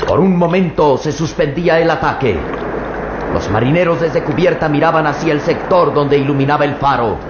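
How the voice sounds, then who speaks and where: High-voiced male radio serial narrator. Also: normal speed. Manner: tense, suspense-building storytelling. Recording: echoey, muffled old radio with loud hiss.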